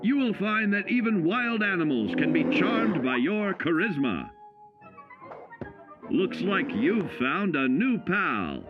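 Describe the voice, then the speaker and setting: An actor playing a cheerful, folksy narrator of 1950s-style government survival films, selling each point with measured, upbeat emphasis. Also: male voice, high-pitched, wildly swooping pitch, dull audio, heavily acted. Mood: upbeat, instructive. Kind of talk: 1950s instructional film narration. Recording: vintage band-limited film sound, music behind. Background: music.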